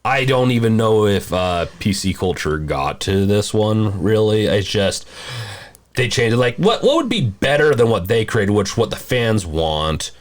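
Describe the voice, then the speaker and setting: A man hosting a casual movie discussion podcast, talking in a halting, rambling way and often rephrasing mid-sentence. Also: on the low side, very animated. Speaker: podcast host.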